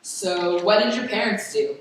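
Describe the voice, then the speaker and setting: A woman's voice: medium pitch, measured pace, natural voice. Woman as a curious boy, very echoey. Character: laid-back, curious boy, son of secret spies. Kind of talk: radio play acting. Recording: very echoey, distant mic, faint noise.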